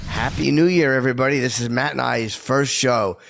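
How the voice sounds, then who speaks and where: A man with a mid-range, gravelly voice that has gone a little scratchy. He co-hosts a podcast in relaxed chat and makes blunt, profane jokes at his own expense. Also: mid-range voice, brisk. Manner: self-deprecating, blunt and profane. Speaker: podcast co-host. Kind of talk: casual chat.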